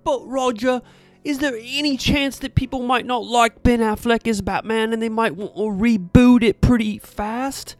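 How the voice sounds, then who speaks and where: Guy doing a naive young actor kid, high loud whiny pleading, super eager, comic story w music under it. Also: normal speed, no echo. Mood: anxious pleading. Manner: pleading, wide-eyed, excitable.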